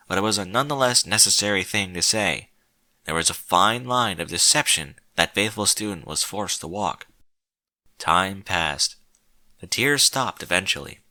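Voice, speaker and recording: Male narrator, dramatic storybook reading, sharp intense tone, deliberate pauses, very dry recording. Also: fairly low pitch, dry recording.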